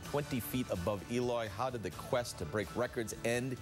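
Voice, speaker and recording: A man's voice: mid-range voice, moderate pace, music in the background. An American man anchoring morning TV news, mixing read copy with casual banter.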